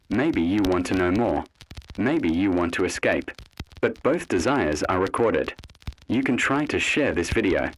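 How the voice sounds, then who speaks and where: A man's voice: lowish voice, a little hiss or hum, low-quality recording. A man narrating a scripted horror piece in an ominous manner, speaking directly to the listener.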